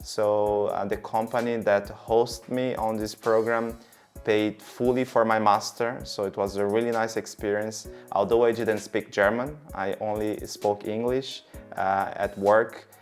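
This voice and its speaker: A man's voice: on the low side, fairly even intonation, measured pace, faint music behind. A man in his thirties from Brazil, giving long, earnest interview answers with many fillers. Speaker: guest.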